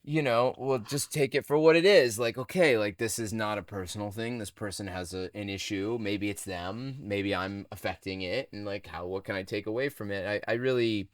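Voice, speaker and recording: A man's voice: fairly low pitch, moderate pace, few pauses, dry recording, clean studio sound. A man hosting a podcast in casual interview chat, with an informal, rambling, encouraging way of talking. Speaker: podcast host.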